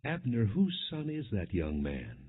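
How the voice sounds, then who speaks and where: A man's voice: lowish voice, wildly swooping pitch, unhurried, breathy edge, full character voice. A man reading the words of a jealous, commanding king in an imperious, brooding manner for an audiobook. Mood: questioning. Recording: muffled, phone-like narrow band.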